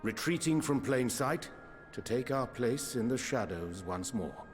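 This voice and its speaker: A grave, composed fantasy adventurer acted by a man in a game cutscene. His mid-pitched voice moves widely in tone as he speaks with formal deliberation, music behind him. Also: lively intonation, unhurried, natural voice. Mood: calm, grave. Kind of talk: video game cutscene acting.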